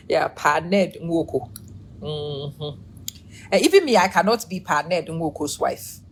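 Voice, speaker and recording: A low-pitched woman in her forties hosts a chatty live stream. She laughs often, greets viewers by name and sounds short on energy, with a faint hum of noise behind her. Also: lively intonation, slow, long pauses. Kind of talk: casual live-stream commentary.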